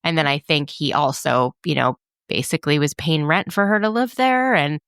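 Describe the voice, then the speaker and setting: A woman's voice: sits low, moderate pace, fairly bright tone, no room sound, clean studio sound. A woman co-hosting a podcast in casual chat, thoughtful and hedging, filling her talk with "like.". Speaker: podcast co-host.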